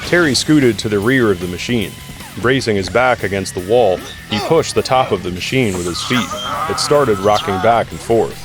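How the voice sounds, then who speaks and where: A man's voice: fairly low pitch, normal speed, music in the background. A man reading dramatic scripted narration for an action audio drama.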